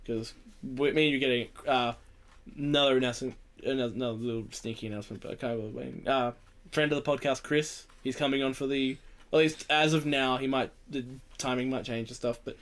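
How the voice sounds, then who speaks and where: A man's voice: mid-range voice, a bit bright. Young aussie guy on a gaming podcast, kinda tired, rambly and jokey, swears a bit. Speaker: podcast host. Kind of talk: casual chat about video games.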